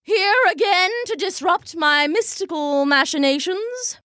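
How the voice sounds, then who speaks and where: A woman voicing a pompous, theatrical female fortune-teller who intones grandly in a loud stage bellow. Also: extremely high pitch, lively intonation, measured pace, dry recording, clean studio sound, pitched above the performer's own voice, exaggerated voice. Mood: grandiose.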